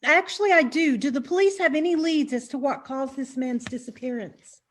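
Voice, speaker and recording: Woman acting a high-voiced, nervous lady interviewer. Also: moderate pace, lightly acted. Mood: sympathetic, probing. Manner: hesitant, apologetic. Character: polite, awkward, nervous female interviewer investigating a disappearance. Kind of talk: comedic mystery acting in an online play.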